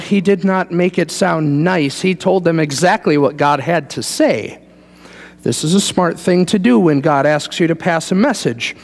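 Male American pastor, middle-aged, slightly high-pitched with a creaky edge; folksy sermon storyteller in a big church. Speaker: pastor preaching. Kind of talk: sermon with conversational asides. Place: large church sanctuary, indoors, standing before a congregation. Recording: reverberant church hall.

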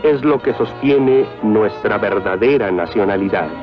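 Low-voiced solemn Mexican male narrator. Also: measured pace. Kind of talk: solemn scripted film narration. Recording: dull old soundtrack with music.

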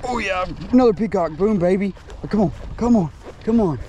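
An excitable young man narrates his own fishing trip beside a lake, chattering to the fish as he fights them. His voice is mellow and warm, caught on a chest-mounted camera outdoors with a faint hiss of wind and ambience behind him. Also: high-pitched, a little fast, muffled recording. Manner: excitable, talks to the fish while reeling. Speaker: fishing vlogger. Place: outdoors by a lakeside. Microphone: chest-mounted action camera.

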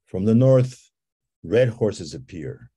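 A man from Guatemala who leads ceremonies, guiding listeners through a slow, calm visualization and telling a story with soft repeated invitations. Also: fairly low pitch, slightly rough. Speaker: ceremony leader guiding a visioning exercise.

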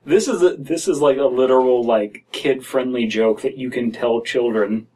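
A man's voice: mid-range voice, normal speed, warm tone. American man, casual, a bit off-mic. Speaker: co-host commenting on stories. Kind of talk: casual comedic commentary. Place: small dim room indoors. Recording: somewhat distant from mic.

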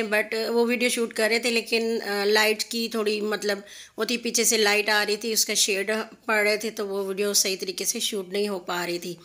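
A woman's voice: medium pitch, fairly bright tone. A woman in her thirties narrating a family vlog, chatty and explaining at length with many fillers, recorded on a phone at an outdoor restaurant at night. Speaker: family vlogger.

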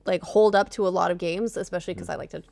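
A woman's voice: fairly low pitch, steady tone, fast talker, creaky, a little mellow, dry recording. A woman in her thirties co-hosting a gaming podcast in casual, conversational talk. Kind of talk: casual chat. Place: podcast studio, indoors. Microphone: studio podcast microphone at desk.

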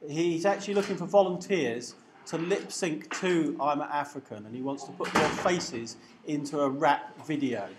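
A man's voice: on the high side, normal speed, echoey room, background noise. A man lecturing informally to a class. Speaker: university lecturer. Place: lecture hall.

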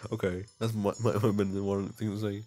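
Low-pitched man doing jokey gaming commentary. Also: flat delivery, fast, warm tone. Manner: jokey, self-mocking asides. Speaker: video game playthrough host. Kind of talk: casual gaming commentary mixed with reading visual novel text aloud. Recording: music behind the voice. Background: game music.

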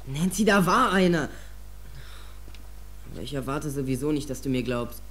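A man's voice: on the high side, animated, normal speed, fairly bright tone. A male actor playing a distraught, defensive teenage boy in a German audio drama, speaking with anguish and agitation. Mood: agitated, defensive. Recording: faint hum and noise, cassette audio drama.

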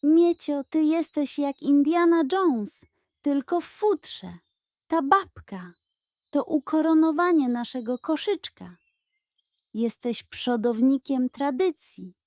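A woman's voice: high-pitched, expressive, slow pace, darkish tone, dry recording, voice pushed up. A woman voicing a pompous, theatrical male pug who gushes grandly about food in a children's bedtime story. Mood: grandiose, delighted. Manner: grandiose, proclaiming, effusive.

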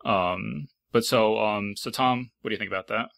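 A man hosting a casual panel discussion, speaking thoughtfully and haltingly as he repeats words. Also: on the low side, gravelly, a bit bright, no room sound, studio quality. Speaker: podcast host.

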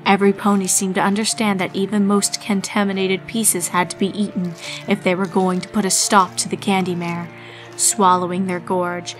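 A woman narrator reading a scripted horror story in a measured storytelling manner. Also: mid-range voice. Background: music.

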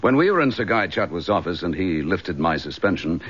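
Casting: determined English police inspector, very deep and expressive, clipped radio drama delivery. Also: male voice, brisk, slightly rough, full character voice. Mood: insistent. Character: determined, dogged police chief inspector, recently suspended. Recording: dull, lo-fi radio drama recording.

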